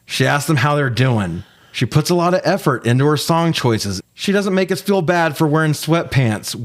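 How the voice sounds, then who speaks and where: A man's voice: a little high, lively intonation, normal speed. A man co-hosting a casual, comedic movie review, talking with sarcastic, profane joking. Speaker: podcast co-host reviewing movies.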